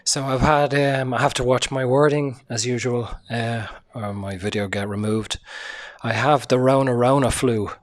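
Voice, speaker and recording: Sick guy talking low and hoarse on his podcast, tired, lots of ums and swearing. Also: steady tone, normal speed. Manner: quiet and subdued, swearing, frequent fillers. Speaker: podcast host. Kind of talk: casual solo podcast monologue.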